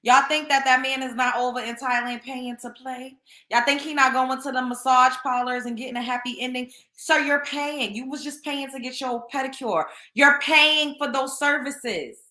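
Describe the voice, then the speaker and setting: Brief: young woman host, blunt and scolding, high bright voice, echoey room. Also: steady tone, moderate pace. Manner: blunt, scolding, emphatic, repeats points. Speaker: show host. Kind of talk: opinionated commentary monologue.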